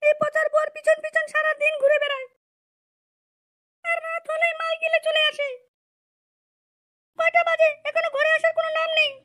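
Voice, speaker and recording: A woman voicing an aggrieved young village wife in an amateur comedy cartoon, squeaky and scolding in an indignant, complaining way. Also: flat delivery, normal speed, long pauses, bright, forceful tone. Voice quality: squeaky, artificially high-pitched.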